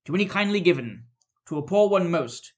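A reader booming as a giant hearty Christmas spirit. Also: expressive, fairly quick, close to an everyday voice. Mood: calm. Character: giant hearty male Christmas spirit, kindly but stern.

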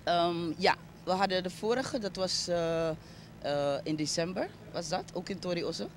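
A woman's voice: on the low side, unhurried. A woman with a Surinamese accent giving reflective, explanatory interview answers outdoors, mixing in English words. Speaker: interviewee, arts foundation founder. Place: outdoors by a street. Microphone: handheld interview microphone. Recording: outdoor ambient noise.

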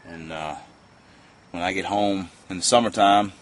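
Low-voiced man on an outdoor deck. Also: steady tone, measured pace. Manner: easygoing, folksy, plain-spoken. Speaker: fishing vlogger. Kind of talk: casual how-to vlog. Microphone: camera a few feet away. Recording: faint outdoor noise.

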